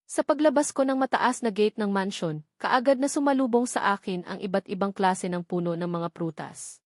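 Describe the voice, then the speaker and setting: A woman's voice: medium pitch, normal speed, darkish tone, dry recording, clean studio sound. A woman with a Filipino accent reading a first-person romance novel as an audiobook narrator.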